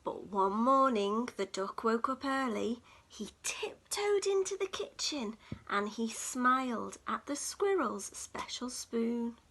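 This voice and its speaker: A woman reading a picture book aloud to young children in an expressive, dramatic storytelling manner. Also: a little high, slow pace, husky. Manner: dramatic, with hushed whispers and gasps.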